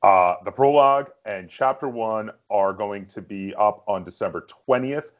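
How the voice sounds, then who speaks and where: A man with a low-pitched voice hosts an interview. His delivery is conversational, courteous and measured. The audio is a little dull and lo-fi. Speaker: host of a gaming interview show.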